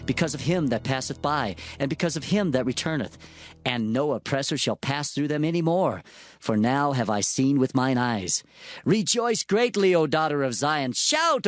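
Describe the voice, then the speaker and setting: An actor playing a solemn prophet, declaiming divine oracles in archaic language in a dramatized scripture reading. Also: male voice, mid-range voice, lively intonation, normal speed, close to an everyday voice. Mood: exultant.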